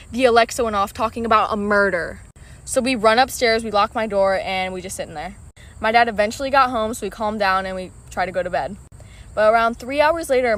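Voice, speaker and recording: A woman's voice: mid-range voice, a bit bright. A young adult woman casually telling a personal scary story. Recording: voice distant from the mic.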